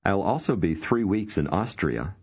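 Low lively-voiced guy reading course prompts, kinda breathy. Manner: slow, clear prompting cues. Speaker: language course narrator. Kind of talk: scripted instruction. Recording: dull lo-fi studio recording.

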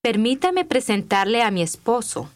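A woman reading scripted language-course dialogue with clear, deliberate enunciation. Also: a little high, big pitch swings.

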